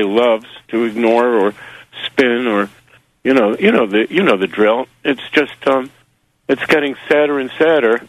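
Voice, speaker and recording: A man who publishes a magazine, guesting on a radio interview and rambling through halting answers full of fillers and wry asides. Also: mid-range voice, dry recording, poor audio. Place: New Zealand.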